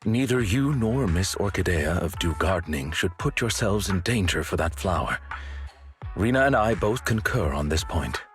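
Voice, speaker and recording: Very deep-voiced, formal butler. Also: male voice, expressive, faint music behind. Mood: earnest, formal. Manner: formal, measured, polite. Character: courteous male butler, composed, formal, protective. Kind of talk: scripted video game voice acting.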